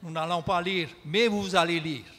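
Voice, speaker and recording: A man interpreting a sermon in a church, measured and sometimes hesitant. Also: sits high, sharp tone, big-room sound. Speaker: pastor and interpreter. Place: church hall.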